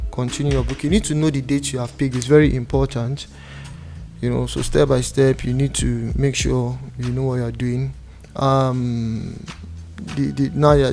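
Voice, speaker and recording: Young nigerian guy doing a screen tutorial, nigerian accent, monotone, talks to himself, webcam mic, music in the back. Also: mid-range voice. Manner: talks through each step aloud, muttering to himself at times. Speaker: tutorial presenter. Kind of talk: screen-recorded walkthrough. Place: indoor room.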